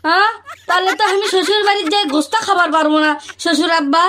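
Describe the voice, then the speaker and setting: A man's voice: extremely high pitch, fast, noisy background. A man voicing a naive, pestering young village son-in-law in a comic cartoon, with a whiny manner and a northern Bangladeshi accent. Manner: innocent, pestering questions with whiny indignation.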